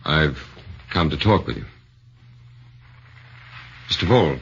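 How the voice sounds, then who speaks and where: An actor playing a shrewd, methodical defense lawyer who questions in a measured, formal way, in a 1940s radio drama. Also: male voice, a bass voice, lively intonation, creaky, slightly rough, exaggerated voice. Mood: probing. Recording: muffled 1940s radio broadcast.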